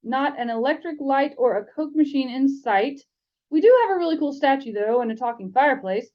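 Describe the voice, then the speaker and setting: A woman's voice: high-pitched, lively intonation, fairly quick, darkish tone, highs cut off, pitched above the performer's own voice. A woman voicing a chatty young wizard schoolboy in a storybook reading, offhand and rambling. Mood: breezy.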